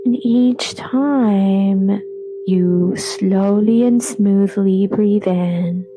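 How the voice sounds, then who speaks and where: A woman leading a guided relaxation meditation in a soothing, unhurried way, with phrases trailing off breathily. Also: mid-range voice, background noise. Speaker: yoga nidra meditation guide. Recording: voice over background music.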